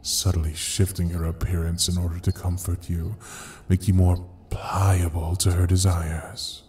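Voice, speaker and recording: A man playing a sinister, grandiose ruler of hell in an audio drama, mocking and seductive, with theatrical, drawn-out pauses. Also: low-pitched, little pitch movement, a little mellow, slight background noise. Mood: amused, cruel. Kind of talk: dramatic audio roleplay acting.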